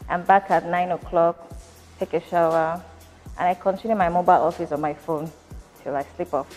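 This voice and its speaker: A Nigerian woman in her thirties, a company founder, answering interview questions in a measured, thoughtful way with frequent fillers, recorded indoors in a factory. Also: fairly low pitch, steady tone, darkish tone, long reverb tail, lo-fi sound, faint music behind.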